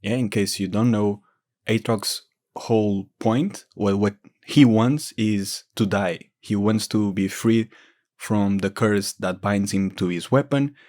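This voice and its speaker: A man's voice: lowish voice, slow pace, a little mellow. A man giving informal, explanatory lore commentary as a gaming video creator.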